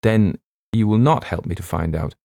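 A man voicing a shaken but determined gentleman passenger in an audiobook, speaking earnestly and formally. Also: lowish voice, moderate pace, vocal fry, no room sound, clean studio sound. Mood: urgent.

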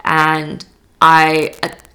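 A woman's voice: low voice, monotone, very slow, sharp tone. A young woman born in Poland, talking casually as a podcast guest, full of "like" and restarts. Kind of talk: casual interview chat.

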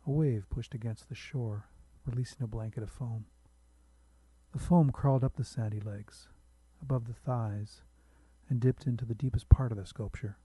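A man's voice: medium pitch, steady tone, moderate pace, dark tone, husky, no room sound, rough recording. A man reading a literary short story aloud as a narrator, close to the microphone.

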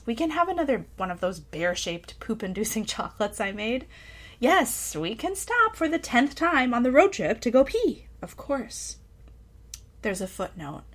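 Reflective woman reading a personal essay. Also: medium pitch. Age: adult parent of a young child. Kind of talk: personal essay read aloud with casual asides.

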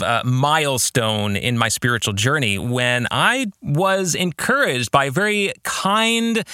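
An American man in middle age delivers a reflective podcast monologue as its host. His voice is bright and clear-cut, recorded dry and close. He explains things in measured phrases, his pitch moving in a lively way. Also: no echo.

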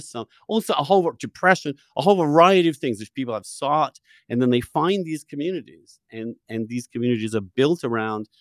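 A man's voice: medium pitch, no echo. A man hosting a long-form interview, speaking in an earnest, discursive way and often rephrasing as he goes. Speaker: podcast host.

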